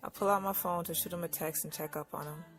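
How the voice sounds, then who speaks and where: Actress as low-voiced young woman telling her story. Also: fairly even intonation, fast talker, faint music behind, close to an everyday voice. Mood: reflective. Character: Iris, weary young married woman narrating her own story. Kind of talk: first-person audio drama narration and dialogue.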